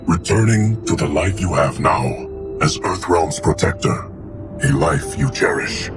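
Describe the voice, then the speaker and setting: An actor playing an ancient, loyal steward of time in a video game, speaking in a measured, formal, solemn way. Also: male voice, sits low. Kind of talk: video game cinematic acting. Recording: music behind the voice. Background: music.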